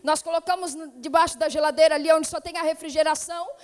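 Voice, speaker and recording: A high-pitched woman with a São Paulo accent preaches as a missionary in a fervent Pentecostal style. She moves from calm greetings to loud, urgent exhortation. Her voice rings through a church hall with a long reverb. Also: quick pace. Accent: São Paulo (paulista). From São Paulo state, Brazil. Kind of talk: Pentecostal sermon.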